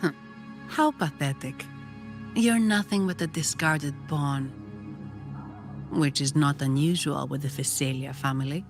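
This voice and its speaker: Game fighter, low voice, cool, dry, businesslike, music behind. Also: female voice, a little slow, pauses often. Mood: scornful. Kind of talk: video game voice acting.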